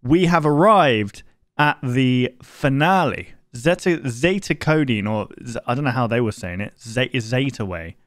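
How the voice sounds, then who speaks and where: A man's voice: mid-range voice, animated, a little slow, dry recording. A man hosting a reaction video, chatting casually with an informal, joking, sweary manner.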